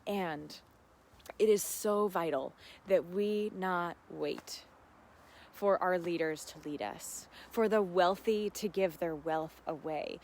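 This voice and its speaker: A woman's voice: lowish voice, takes it slowly, a little mellow. A woman hosting a casual livestream, talking in an earnest, heartfelt and effusive way. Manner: effusive, often laughing while talking. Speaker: host of a live Q&A.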